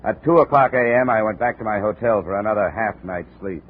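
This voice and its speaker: Hard-boiled investigator, low clipped delivery, muffled old radio sound. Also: male voice, brisk, slightly rough. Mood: dry, measured. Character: tough, terse male insurance investigator narrating his case. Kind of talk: 1950s radio detective drama, first-person narration. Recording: muffled, noisy 1950 radio recording.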